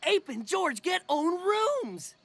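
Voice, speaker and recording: Cartoon jungle wild man: dim, friendly, very high and swooping, slow delivery. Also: sing-song, vocal fry, heavily acted. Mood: excited. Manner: naive, refers to himself in third person. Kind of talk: cartoon voice acting. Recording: loud music behind.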